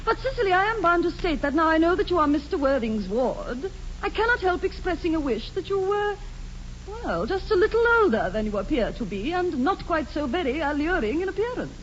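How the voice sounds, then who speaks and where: Very high-pitched, imperious English society lady. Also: big pitch swings, brisk. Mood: haughty, probing. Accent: upper-class English. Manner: affected, grand, emphatic. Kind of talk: 1940s radio comedy acting. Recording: dull, lightly hissy 1940s radio recording.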